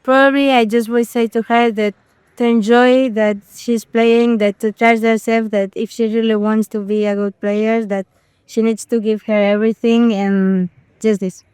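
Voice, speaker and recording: A woman's voice: on the high side, flat delivery, moderate pace, darkish tone, clear, resonant tone, no room sound. A Spanish woman table tennis player answering interview questions earnestly. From Spain.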